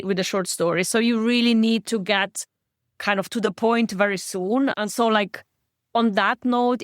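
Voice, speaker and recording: Woman, native Slovenian speaker, interview guest, thoughtful, halting restarts, mid-pitched, dry close sound. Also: no room sound. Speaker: guest author and book coach. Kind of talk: podcast interview conversation.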